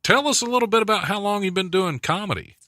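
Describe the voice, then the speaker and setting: A man's voice: high voice, expressive, fast talker, sharp tone, no room sound. A man hosting a radio talk show in a studio, chatting casually and laughing often as he teases his guest. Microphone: studio mic.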